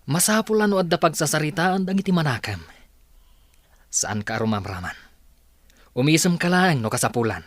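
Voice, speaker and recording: A man's voice: fairly high pitch, lively intonation, normal speed, long pauses, a bit bright, no echo, clean studio sound. A man acting as a demanding young rich heir in an Ilocano radio drama, with an Ilocano accent. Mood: instructing, bossy.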